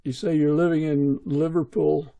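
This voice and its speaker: A man's voice: fairly high pitch, little pitch movement, moderate pace, gravelly, mellow. A man hosting a podcast, introducing the show and interviewing in a conversational way. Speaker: podcast host.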